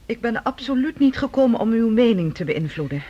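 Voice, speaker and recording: Husky, courteous, reserved lady. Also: fairly high pitch, very animated, brisk, dark tone, highs cut off. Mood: earnest. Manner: formal, courteous. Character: polite, reserved woman, a professor's daughter. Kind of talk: Dutch radio play dialogue.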